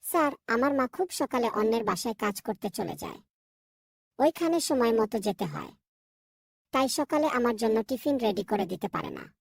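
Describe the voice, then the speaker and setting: A woman voicing a calm, honest, pious young schoolboy in a cartoon, speaking politely and earnestly. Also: fairly high pitch, normal speed, gravelly, slightly rough, no room sound, clean studio sound, heavily acted. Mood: polite, matter-of-fact. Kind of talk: cartoon voice acting.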